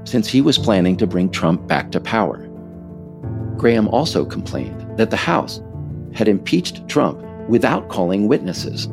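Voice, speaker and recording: An American man reading scripted political nonfiction as author and narrator, in a measured, emphatic way. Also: sits low, vocal fry.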